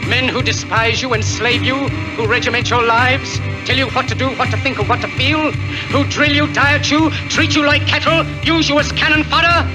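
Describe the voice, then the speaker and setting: A man's voice: extremely high pitch, fairly even intonation, moderate pace, music in the background, low-quality recording. Impassioned man in an old film speech. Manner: impassioned oratory.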